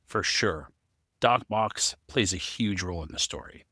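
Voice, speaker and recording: A male podcast co-host in relaxed back-and-forth discussion. His voice sits fairly low yet cuts through brightly, with a dry, close recording. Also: a little slow, husky, dry recording. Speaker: podcast co-host, story writer and amateur voice actor.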